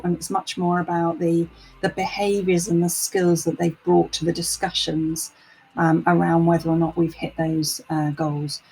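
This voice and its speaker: A woman speaking as an interview guest in a thoughtful discussion, explaining things in a measured, structured way. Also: fairly low pitch, slight background noise, low-quality recording. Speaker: interview guest, senior product director.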